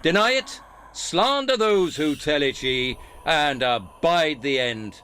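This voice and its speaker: An actor playing a solemn, dying Christmas spirit giving stern warnings in a theatrical, commanding radio play manner. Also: male voice, on the high side, expressive, slow pace, bright, forceful tone, smooth, heavily acted.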